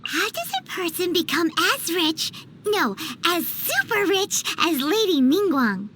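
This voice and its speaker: A woman voicing a small, childlike flying fairy companion in a video game, squeaky, excitable and easily offended. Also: unhurried, a little hiss or hum, heavily acted. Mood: chirpy, eager. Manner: refers to self in third person, excitable.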